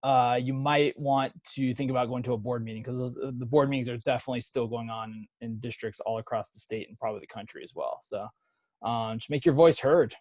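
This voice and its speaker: A male professor talking casually on a webinar over a video call, relaxed and encouraging, with many fillers. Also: medium pitch, fairly quick, dry recording.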